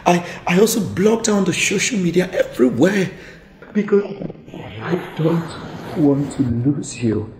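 A man's voice: a little high, animated, slow, long pauses, a little mellow. An actor playing a remorseful man who pleads with his partner for forgiveness. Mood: pleading. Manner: pleading, apologetic. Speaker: actor in a relationship skit. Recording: echoey room with faint music.

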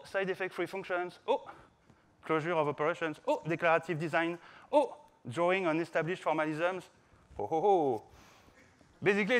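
A man's voice: fairly high pitch, a bit bright. A man in his thirties with a French accent, giving a playful, informal conference talk to an audience. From France. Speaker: conference speaker, software developer. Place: large conference hall stage, indoors.

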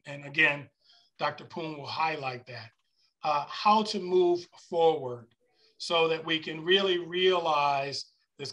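A man chairing a formal meeting over a video call, explaining things in a measured way. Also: fairly high pitch, pushed and bright.

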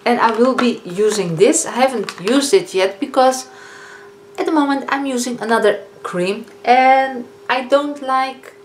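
A woman in her fifties with a Dutch accent, chatting casually as a beauty vlogger reviewing products, often pausing on fillers and correcting herself. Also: a little high. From Netherlands. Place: living room, indoors. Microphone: camera in front of a seated speaker. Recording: echoey room with faint hiss.

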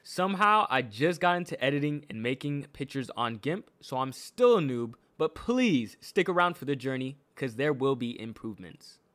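A man's voice: mid-range voice, lively intonation, normal speed, few pauses. An American man from New York with a faint New York accent, narrating a scripted video in a slangy, playful, informal way as a gaming YouTuber. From New York, USA.

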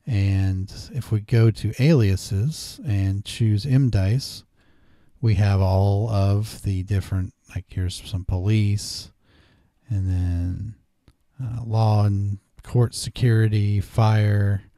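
Low, mellow man giving a casual tutorial. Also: steady tone, slow, no room sound, studio quality. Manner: informal step-by-step explaining. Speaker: tutorial host. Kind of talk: casual screen-recorded software tutorial. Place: indoors at a desk.

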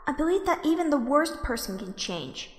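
A woman voicing a kind, determined human child in a dramatic comic dub, earnest and gentle in delivery. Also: high-pitched, very animated, normal speed. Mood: earnest, pleading. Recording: echoey reverb, guitar music behind.

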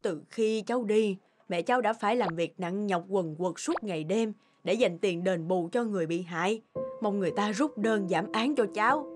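A woman's voice: medium pitch, normal speed, lightly acted. An actress playing a concerned village market woman in a cartoon, earnest and folksy. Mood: sad, explaining. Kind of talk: cartoon dubbing. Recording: clear music under the voice.

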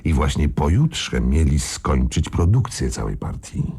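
An actor playing a scheming, cocky gang boss in a radio crime drama, with a gravelly, husky voice and colloquial, self-assured speech. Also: male voice, extremely low pitch, very animated, measured pace, dark tone, full character voice. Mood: confident.

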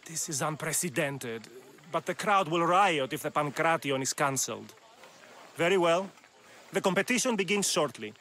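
High, sarcastic games official. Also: male voice, lively intonation, normal speed, sharp tone, slight background noise. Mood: resigned. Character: fussy, incredulous male games official in ancient Greece. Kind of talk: video game cutscene acting.

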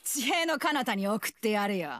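A middle-aged scientist from a video game. Her voice sits fairly high and swings widely in pitch. She is motherly and teasing, with brassy, theatrical phrasing and a quietly ruthless edge. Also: expressive, moderate pace. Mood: mock sympathy, smug. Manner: brassy older-woman phrasing, playful and theatrical. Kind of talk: Japanese game dub voice lines.

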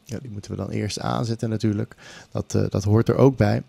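A middle-aged man talks through a music production tutorial in a casual, informal way. His voice has a gravelly fry to it and is captured dry and close in a small room. English phrases pop up in his speech, and faint music loops play behind him. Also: on the low side, fairly even intonation, a little fast, dark tone, no echo. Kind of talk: casual screen-recorded tutorial. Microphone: large condenser mic on a boom arm, close up.

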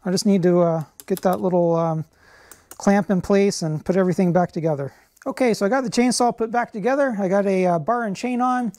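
A man's voice: sits high, a little fast. Man explaining a repair in his workshop. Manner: relaxed, explanatory, frequent "uh" and "um". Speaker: channel host. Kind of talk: how-to repair demonstration. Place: workshop garage, indoors.

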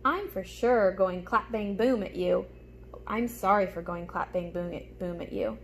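A woman's voice: mid-range voice, measured pace, voice pushed down, natural voice. A young woman voicing a sun and a cloud, old friends in a children's storybook, in a simple, earnest way. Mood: apologetic. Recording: clear background noise, room ambience.

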